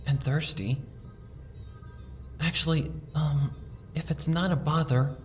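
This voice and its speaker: Cast: woman doing a frightened ill pony mare, low soft voice, slow hesitant stammer, mic set back, faint music under. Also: far from the mic. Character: timid sick young female pony, frightened. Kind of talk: fan audiobook reading.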